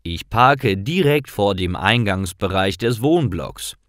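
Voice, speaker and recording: Man, story narrator for learners, deliberate short sentences, unhurried pace, dry studio-like sound. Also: lowish voice, animated, fairly bright tone, dry recording. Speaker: narrator of language-learning stories.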